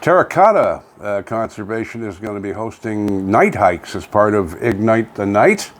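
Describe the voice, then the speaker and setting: A man with a fairly low voice co-hosts a local radio show from a home studio. He delivers community news casually, with blunt, everyday phrasing and an informal conversational style. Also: very animated, normal speed.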